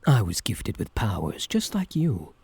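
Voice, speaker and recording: A man playing an obsessive, menacing yandere supervillain in an intimate, theatrical ASMR roleplay, with a breathy, deliberate delivery. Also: on the low side, wildly swooping pitch, darkish tone, no room sound, heavily acted. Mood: smug, cold.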